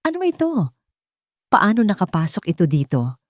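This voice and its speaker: A woman's voice: medium pitch, huge pitch swings, normal speed, a little mellow, no echo, clean studio sound. A woman voicing a shaken, trusting adult man, a father, alarmed and disbelieving in an audio drama.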